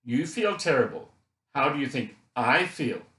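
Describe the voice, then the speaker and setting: A man's voice: mid-range voice, lively intonation, a little slow, a bit bright, echoey room, voice pushed up. A man voicing an injured, frustrated boy who thinks aloud in a chatty, candid, colloquial way, as first-person audiobook narration. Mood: angry, sarcastic. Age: child.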